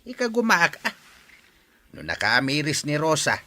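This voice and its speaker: A man's voice: on the high side, very animated, measured pace, sharp tone, heavily acted. A man playing a hesitant older husband in an Ilocano radio drama. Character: hesitant old drinking husband, mumbling promises to reform.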